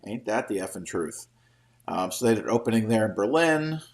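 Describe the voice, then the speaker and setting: A man's voice: on the low side, lively intonation, a little fast. A middle-aged man hosting a show, giving off-the-cuff solo commentary in a rambling, opinionated, casually profane way. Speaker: host of a daily show commenting on entertainment trade news.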